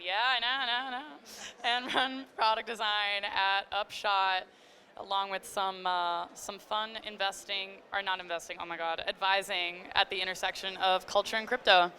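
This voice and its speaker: A woman's voice: medium pitch, steady tone, moderate pace, sharp tone. A woman from Egypt speaking informally as a panelist, addressing an audience through a stage microphone. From grew up in Egypt. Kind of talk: informal self-introduction to an audience. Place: live stage event. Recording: faint background noise, live event sound.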